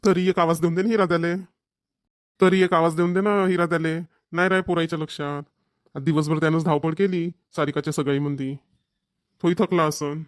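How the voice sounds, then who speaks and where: A woman's voice: sits low, steady tone, a little fast, no echo, studio quality, lightly acted. A woman voicing a firm, insistent middle-aged village father in a family cartoon, with a Varhadi Marathi accent. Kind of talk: cartoon family comedy voice acting.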